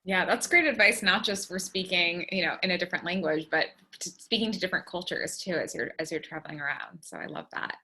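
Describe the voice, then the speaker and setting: A woman's voice: fairly low pitch, a little fast, bright, forceful tone. A woman hosting a panel, upbeat and chatty as she asks questions. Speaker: panel host. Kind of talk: casual moderating. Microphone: video call.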